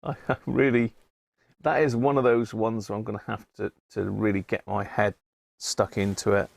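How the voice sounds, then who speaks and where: Gravelly-voiced Englishman chatting casually. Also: on the low side, level tone, moderate pace, no room sound. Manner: rambling, chatty, thinking aloud. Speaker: host of a hobby electronics live stream. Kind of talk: casual live-stream chat while working at a bench.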